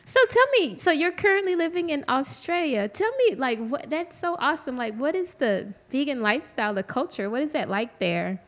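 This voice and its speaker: A woman's voice: high-pitched, expressive, a little fast, hardly any pauses, fairly bright tone. A young adult woman hosting an interview, enthusiastic, often saying "like" and "mm-hmm". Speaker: interview host. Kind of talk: casual interview chat.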